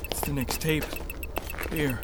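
Man playing a higher-voiced, steady engineer. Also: slow, very noisy. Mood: focused, cautious. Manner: calm, serious, explanatory. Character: steady, learned male engineer, protective survivor. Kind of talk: sci-fi radio drama acting.

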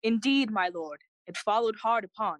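A woman's voice: a little high, expressive, moderate pace, no room sound, close to an everyday voice. A woman playing a loyal, earnest young friend of a prince in a bilingual Shakespearean audio play, speaking formally. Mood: respectful. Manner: earnest, formal, clearly declaimed.

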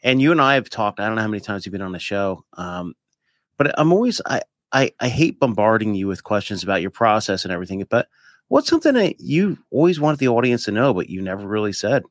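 A man's voice: fairly low pitch, very animated, quick pace, no echo, clean studio sound. A man hosting a podcast, casually putting questions and comments to the others. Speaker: podcast host. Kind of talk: casual interviewing.

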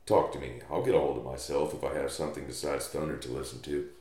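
A man's voice: rumbling low, fairly even intonation, fast talker, warm tone. A man voicing a frightened, pleading young woman in a storybook western. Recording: roomy, echoey room sound.

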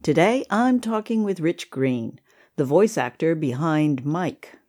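A woman with a low-pitched voice hosts a podcast interview. She talks conversationally, introducing and questioning her guest. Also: animated, takes it slowly, darkish tone. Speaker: podcast host, writer and producer. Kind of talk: interview hosting.

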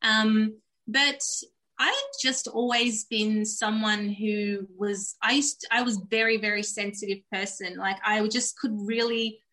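A woman's voice: mid-range voice, steady tone, a little slow, sharp tone. A woman, a Turkish speaker, telling her life story in an earnest, reflective way as an interview guest. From Australia. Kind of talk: candid personal storytelling in a podcast interview.

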